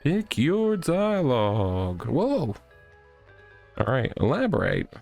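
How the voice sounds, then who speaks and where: A man's voice: mid-range voice, huge pitch swings, takes it slowly, gravelly, darkish tone. A man playing through a visual novel as host, commenting and reading the narration aloud. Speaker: let's play host. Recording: music behind the voice.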